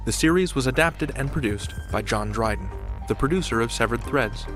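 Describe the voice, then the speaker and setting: A man's voice: medium pitch, animated, a little fast. A man hosting a podcast, reading a scripted series introduction. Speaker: host. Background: music.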